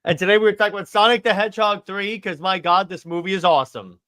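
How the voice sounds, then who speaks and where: A man with a high-set, bright voice hosts a casual film chat. He speaks emphatically and with excitement, in an informal conversational style. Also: quick pace. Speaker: podcast host. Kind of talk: casual movie discussion.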